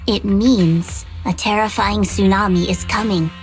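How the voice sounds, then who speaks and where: An actress voicing a cynical, guarded young woman in a fantasy game, speaking with cool, deliberate, sardonic delivery. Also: mid-range voice, steady tone, faint music behind, lightly acted. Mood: grave, analytical. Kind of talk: English video game voice acting.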